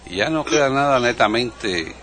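A man's voice: mid-range voice, animated, moderate pace, a bit bright. An older man from Havana with a Cuban accent, chatting easily in a group conversation and repeating his words as he muses. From Cuba. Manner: musing, easygoing, repeats words. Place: lives in Miami.

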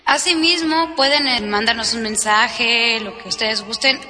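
A woman with a Mexican accent co-hosts a podcast in a friendly, clear way, with a high, bright voice. She sounds like she is in a reverberant hall, with faint music underneath. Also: measured pace. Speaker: podcast co-host. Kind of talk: hosting a podcast.

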